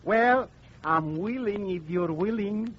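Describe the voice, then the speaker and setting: A man playing an excitable, blunt Spanish-speaking guest in a 1940s radio comedy. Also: high-pitched, slow pace, slight background noise. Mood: blunt, agreeable. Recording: dull, lo-fi 1940s radio recording with music.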